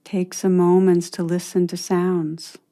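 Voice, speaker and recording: Slow, mellow woman guiding a meditation. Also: fairly low pitch, little pitch movement. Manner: soothing, unhurried, with long pauses. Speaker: meditation teacher. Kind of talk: guided meditation narration.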